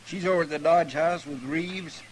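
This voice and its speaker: An actor playing an eager, deferential marshal's deputy in a folksy 1950s radio western style. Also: male voice, fairly high pitch, normal speed, lightly acted. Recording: noisy old radio recording.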